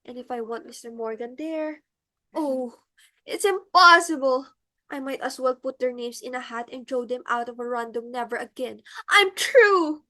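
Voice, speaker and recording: A woman's voice: high voice, expressive, normal speed, pushed and bright. A woman playing a flustered, imperious society mother in a stage comedy, with emphatic, theatrical exclamations. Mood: harried, vexed. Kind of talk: comedic stage-play acting.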